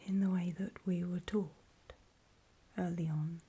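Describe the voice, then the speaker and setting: A woman playing a weary, wary zombie apocalypse survivor in a halting, breathy whispered ASMR horror roleplay. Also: fairly low pitch, fairly even intonation, dark tone, full character voice. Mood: wary, resigned. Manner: halting, hesitant, slow and trailing off.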